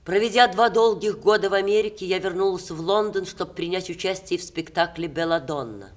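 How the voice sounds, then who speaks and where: A woman's voice: fairly low pitch, lightly acted. Proud ageing stage actress played by a woman, theatrical. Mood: sombre recounting. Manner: theatrical, expressive, measured diction. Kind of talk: 1960s Russian theatre radio play acting.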